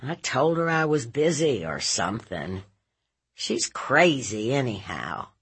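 A woman reader plays a sulky teenage boy in a storybook scene. He is evasive and defensive, with curt answers in a husky, unhurried voice. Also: extremely low pitch, very animated, heavily acted. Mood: sulky excuses. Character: sullen, evasive seventeen-year-old boy.

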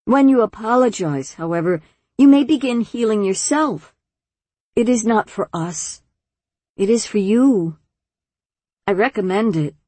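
A woman's voice: mid-range voice, animated, a little mellow, slightly rough, no room sound. A woman voicing a kind, forgiving and composed woman in an audiobook. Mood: kindly, firm. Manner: kindly, measured. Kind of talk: audiobook character voice.

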